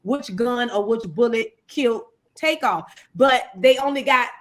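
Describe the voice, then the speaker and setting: A woman's voice: on the high side, a little slow, fairly bright tone. A woman panelist talking casually and explaining things in street slang with some swearing. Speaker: talk show panelist.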